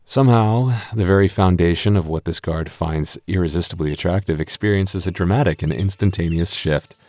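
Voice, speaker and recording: Very deep-voiced exasperated young dungeon master man acting. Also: brisk, darkish tone, no room sound, lightly acted. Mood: grudging, exasperated. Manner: exasperated, hesitant, sighing. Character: exasperated young male dungeon master, tsundere childhood friend. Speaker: game master. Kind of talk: ASMR audio roleplay acting.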